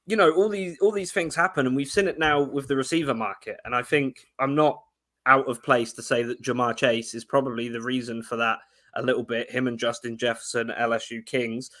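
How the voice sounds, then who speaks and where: Guest analyst: young man from England, eager and quick-talking about football, mid-pitch voice. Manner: fast, animated football breakdown with frequent restarts. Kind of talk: casual sports chat.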